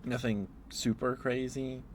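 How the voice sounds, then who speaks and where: A man streaming casual, rambling ASMR chat, telling stories in a halting, meandering way. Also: mid-range voice, steady tone. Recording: clearly audible background noise.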